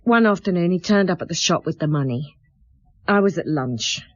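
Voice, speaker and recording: A woman's voice: fairly low pitch, normal speed, dry recording, highs cut off. A scornful, bitter woman, dry sound. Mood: bitter, defensive. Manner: contemptuous, defiant, excitable when challenged. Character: scornful, bitter married woman confessing under police questioning. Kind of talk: radio drama acting.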